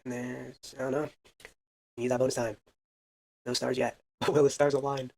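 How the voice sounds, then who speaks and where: A man's voice: mid-range voice, flat delivery, moderate pace, creaky, a little mellow. A man casually commentating a baseball video game, counting hits aloud and adding self-deprecating asides. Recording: faint background music.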